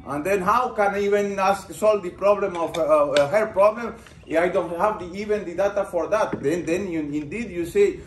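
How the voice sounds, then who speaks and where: A male lecturer with a rather high voice teaches a class, asking his students questions and then picking up their replies. The sound carries the roomy echo of a lecture room. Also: moderate pace, hardly any pauses, slight background noise. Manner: poses questions to the class and builds on answers. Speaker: university lecturer.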